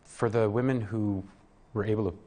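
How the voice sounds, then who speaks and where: Earnest man, lowish voice. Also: steady tone, dark tone, a little hiss or hum. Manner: earnest, halting with restarts. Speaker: guest, program worker. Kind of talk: interview answers.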